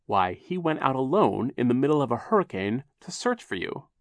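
A man's voice: medium pitch, very animated, moderate pace, no echo, voice pushed up. Guy doing a fussy storybook banker type, fretful, talks down to kids, voice goes up and down. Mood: patronizing reassurance. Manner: fretful, patronizing, exclamatory. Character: fussy, dismissive, well-meaning but obtuse male banker guardian.

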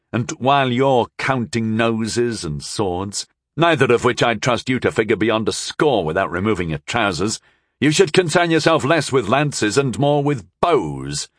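Man acting a defiant knight-mage, animated delivery. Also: mid-range voice, measured pace, no echo, clean studio sound. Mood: defiant challenge. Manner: lecturing, oratorical, cutting. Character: bold, defiant male knight-mage hero.

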